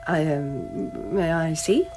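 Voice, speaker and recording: A woman's voice: low voice, slow pace, music in the background. A woman playing a brusque, haughty, impatient documentary film-maker in an audio drama, speaking in a curt, scornful way. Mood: guarded, sharp. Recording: ambient location noise behind voice.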